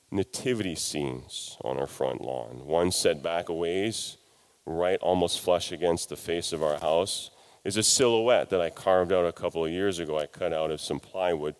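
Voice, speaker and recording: Man, middle-aged, very low voice, stuffy from a sinus cold, church sermon, gentle self-mocking humor. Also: a little slow, clean tone. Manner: folksy, self-deprecating humor. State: congested from a cold and sinus infection. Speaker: pastor. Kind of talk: sermon with scripture reading.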